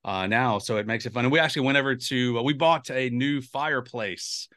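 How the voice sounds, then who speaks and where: A man's voice: medium pitch, a little fast, a bit bright, no room sound, clean studio sound. A man hosting a casual podcast, rambling and chuckling as he talks. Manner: rambling, restarts often, chuckles. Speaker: podcast host. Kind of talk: casual interview chat.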